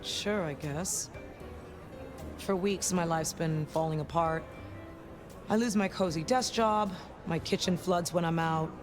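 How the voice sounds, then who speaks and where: Actress as cocky female vampire enforcer, brash, streetwise, slightly low voice, video game, prominent music. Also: measured pace, natural voice. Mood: wry storytelling.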